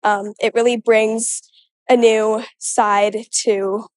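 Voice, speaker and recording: A teenage girl, a high school junior, giving casual interview answers. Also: flat delivery, slow, no room sound, studio quality. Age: teen.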